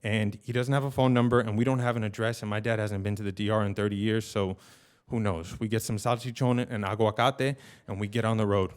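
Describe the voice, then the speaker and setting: A man's voice: lowish voice, brisk, clear, resonant tone. Man telling a personal story, slangy and colloquial. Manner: animated, colloquial, with slangy asides. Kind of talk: live personal storytelling on stage.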